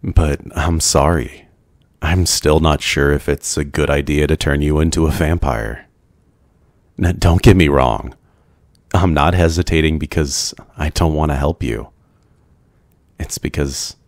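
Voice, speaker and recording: A man voicing a brooding, lonely ancient vampire in an ASMR roleplay, gentle, courteous and soft-spoken, with hesitant pauses. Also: a bass voice, no room sound, studio quality. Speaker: roleplay voice performer. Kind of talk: ASMR boyfriend roleplay, scripted.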